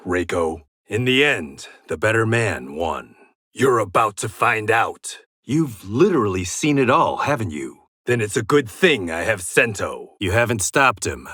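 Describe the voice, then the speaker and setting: A stern, proud blind swordsman from a fighting game. His mid-pitched voice is sharp and forceful, swinging widely in pitch. He delivers terse, confident taunts with a wry edge, recorded completely dry. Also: no room sound, full character voice. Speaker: video game fighter character. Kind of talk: dramatic fighting game voice lines.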